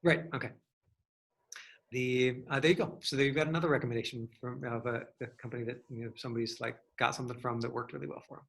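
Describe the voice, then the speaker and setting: A man's voice: mid-range voice, fairly even intonation, quick pace, vocal fry, a bit bright. Rambling man teaching an online acting class. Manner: rambling, hedging, thinking aloud. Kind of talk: casual online class question-and-answer.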